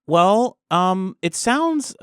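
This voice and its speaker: A man's voice: high voice, sing-song, drawn-out words, fairly bright tone, clear, resonant tone, no room sound, clean studio sound. A man playing an improvised tabletop game, speaking in a polite, questioning and tentative way. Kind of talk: improvised in-character role-play and questions.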